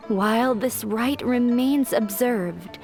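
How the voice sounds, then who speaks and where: A woman playing a gentle, sentimental woman in an anime video game, speaking in a measured, sincere way. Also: a little high. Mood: gentle, wistful. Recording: game music behind voice.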